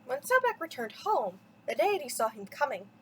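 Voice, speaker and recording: A woman's voice: high-pitched, sing-song, normal speed, fairly bright tone, a little hiss or hum. A woman reading a mythology story aloud for children as a storyteller, with dramatic, theatrical emphasis. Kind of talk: expressive bedtime story read-aloud.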